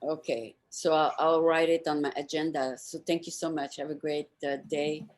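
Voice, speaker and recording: A woman's voice: sits low, steady tone, normal speed. A woman hosting a show, chatting casually with her guest in an informal interview. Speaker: show host. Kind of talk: casual interview chat, wrapping up.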